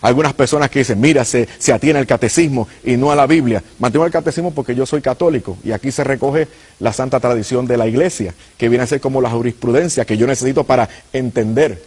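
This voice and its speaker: Husky Puerto Rican preacher man. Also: medium pitch, fast, a little mellow. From Puerto Rico. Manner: earnest, addresses viewers directly. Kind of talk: apologetics teaching.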